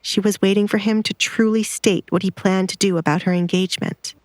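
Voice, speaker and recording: A woman's voice: medium pitch, steady tone, a little fast, dry recording. A woman narrator reading a scripted romantic drama in a storytelling style.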